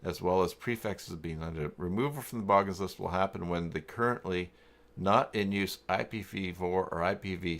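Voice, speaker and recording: Male livestream host, deep register, casual and rambling, talks through his thoughts as he goes. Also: a bit bright. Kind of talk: casual livestream chat, thinking aloud.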